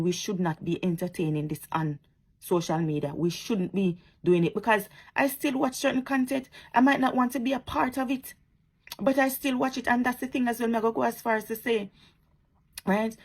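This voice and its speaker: A Jamaican woman lectures her followers with fervor, circling back to the same points again and again and slipping into sarcasm. Her voice is husky and slightly breathy, sitting at an ordinary middle pitch. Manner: preachy, repetitive and sarcastic. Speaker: social media commentator. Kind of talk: impassioned commentary to viewers.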